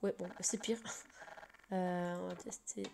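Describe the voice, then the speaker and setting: Woman with a slight cold, dark-toned voice, halting casual tutorial delivery at a desk mic. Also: fairly low pitch, steady tone, creaky, slight background noise. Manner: hesitant, full of fillers and restarts. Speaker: game tutorial maker. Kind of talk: casual screen-recorded game tutorial.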